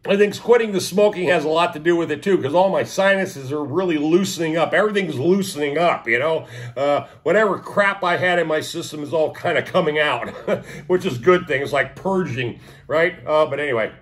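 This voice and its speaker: A man in his sixties talks straight to his viewers in a loose, rambling way, full of fillers and false starts. His voice sits a little high for a man, and his nose sounds sniffly and stuffed-up. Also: fairly quick. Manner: rambling, many fillers and restarts. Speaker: vlogger. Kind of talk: casual talk to camera.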